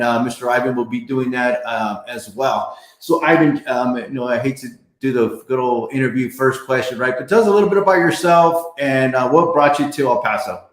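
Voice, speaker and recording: A man's voice: medium pitch, reverberant, rough recording. A man hosting a live podcast, talking casually and loosely with many fillers while addressing viewers directly. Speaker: realtor, podcast host.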